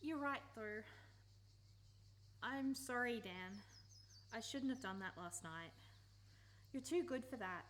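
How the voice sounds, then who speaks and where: An actress plays a conflicted young woman, her voice on the high side. She is halting, defensive and emotional, in a radio drama recorded with faint noise. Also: lively intonation, fairly bright tone. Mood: remorseful. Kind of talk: radio play acting.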